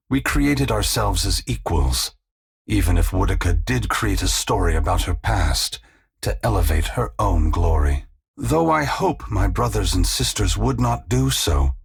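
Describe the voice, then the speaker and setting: A man voicing a solemn, gentle, ancient god in a video game, with a gravelly, husky voice and measured, formal, patient delivery. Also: fairly low pitch, heavily acted. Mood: grave, reflective. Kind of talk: scripted video game character dialogue.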